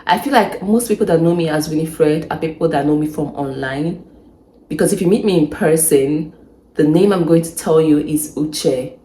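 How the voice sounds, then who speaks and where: Low-voiced Nigerian woman in echoey room. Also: normal speed, warm tone. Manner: chatty, laughs often, repeats herself for emphasis. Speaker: vlogger. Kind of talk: casual advice vlog.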